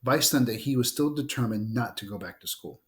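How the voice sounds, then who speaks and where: Guy doing a read-aloud of a kids novel, medium pitch, expressive, trips on words sometimes. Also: fairly quick. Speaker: book reader.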